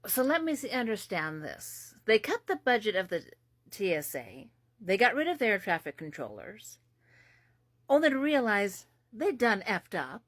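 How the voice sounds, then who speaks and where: A woman's voice: medium pitch, sing-song, a little slow, dry recording, studio quality. A woman giving sarcastic, incredulous political commentary from the United States. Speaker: commentary host.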